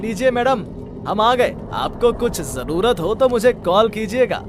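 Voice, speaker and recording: A man's voice: high voice, lively intonation, a little slow, noisy background. A man playing a deferential male driver in a horror cartoon story. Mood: polite, helpful. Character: male driver and caretaker, deferential to his madam. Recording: music behind the voice.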